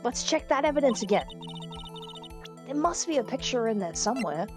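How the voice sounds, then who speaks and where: A woman's voice: fairly high pitch, big pitch swings, moderate pace, over music. A woman voicing an encouraging young assistant in a live fan dub of a game.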